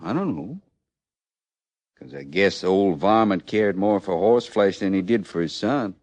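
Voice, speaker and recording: An actor in old-time radio western style playing a condemned American outlaw in his thirties, with a rustic, halting Western drawl and a rueful, plainspoken manner. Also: deep voice, lively intonation, a little mellow, dry recording. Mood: bitter. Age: 30s. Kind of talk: mid-century American radio western acting.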